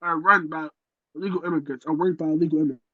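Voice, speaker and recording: A man's voice: fairly high pitch, steady tone, very fast, a crowd in the background. A man co-hosting a podcast, talking in emphatic slang in a casual chat. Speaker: podcast co-host. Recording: dull lo-fi sound.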